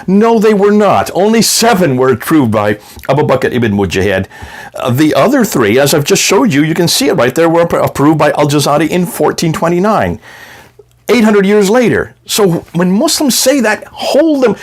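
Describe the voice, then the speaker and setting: A man's voice: on the high side, sing-song, moderate pace. A man hosting a commentary video and making an argumentative rebuttal, emphatic and combative, with frequent restarts. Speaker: apologetics video host.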